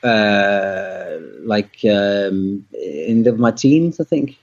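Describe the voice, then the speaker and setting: A man's voice: fairly low pitch, extremely slow. A man from Denmark, a musician guest, talking casually in an interview, rambling with lots of fillers and restarts. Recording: dull lo-fi audio.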